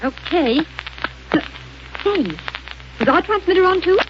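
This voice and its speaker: A woman's voice: very high voice, animated, unhurried. An actress playing a worried, pleading young woman of a space crew in a 1930s radio drama. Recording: dull lo-fi old radio recording with loud hiss.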